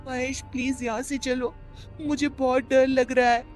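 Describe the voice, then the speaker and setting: A man's voice: very high voice, little pitch movement, unhurried, soft background music, voice pushed up. A man voicing a devout, fearful young woman in a cartoon horror story, speaking anxiously. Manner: pleading, anxious.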